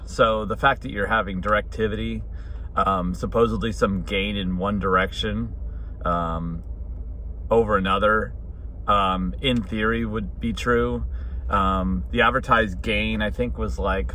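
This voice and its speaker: A man's voice: on the low side, a bit bright. A man, chatting bluntly and casually in an opinionated vlog. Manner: blunt, informal. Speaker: hobbyist radio vlogger. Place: inside a car. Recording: clear vehicle noise behind voice.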